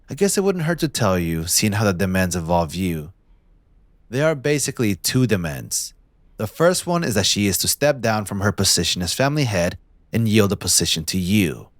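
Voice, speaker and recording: A man playing a possessive, smug young mafia heir in a scripted audio roleplay, speaking in a theatrical, condescending, deliberate villain's drawl. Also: fairly low pitch, sing-song, no echo. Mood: smug, calculating.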